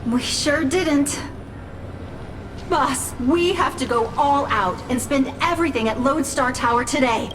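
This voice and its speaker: An actress playing an efficient female business assistant who reports to her boss in a crisp, brisk way for a game cutscene. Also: sits high, background noise. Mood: briskly reporting. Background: game music.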